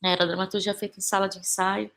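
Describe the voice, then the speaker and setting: A woman's voice: medium pitch, little pitch movement, fast, vocal fry, a bit bright. A Brazilian woman answering as a podcast guest in a conversational, informal and reflective way, often seeking agreement with tag questions. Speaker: podcast guest, actress and playwright.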